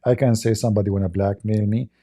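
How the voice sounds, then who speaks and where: Male host, non-native English, sarcastic and laughing, warm mellow tone. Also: on the low side, fairly even intonation, quick pace. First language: not English. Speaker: livestream host. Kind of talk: mocking commentary on video clips.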